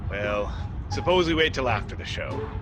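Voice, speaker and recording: An actor playing a witty, dry-humored young drama critic in an amateur audio drama. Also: male voice, on the low side, very animated, moderate pace. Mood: wry. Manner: dry, wry banter.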